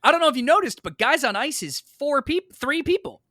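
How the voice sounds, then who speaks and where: A man with a bright, forward voice tells a casual story as a podcast guest. His pitch moves around a lot as he plays out each side of an exchange. The sound is dry, with hardly any room on it. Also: brisk, no room sound. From United States, New York. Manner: animated, acts out both sides of remembered exchanges. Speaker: podcast guest, stage actor.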